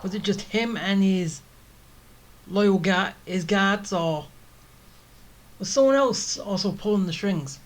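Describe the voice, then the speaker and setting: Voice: mid-range voice, slow, pauses often, slight background noise. A game streamer giving casual, off-the-cuff commentary and talking back to the characters. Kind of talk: casual let's-play commentary.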